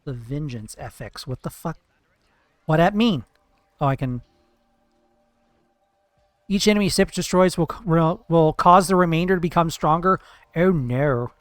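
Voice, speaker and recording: Streamer, male, higher-pitched, dry close sound: loose, swearing, teasing chat while he plays. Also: animated, a little slow, pauses often, no echo. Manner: chatty, profane, playful teasing of chat. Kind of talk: casual live-stream chat while gaming.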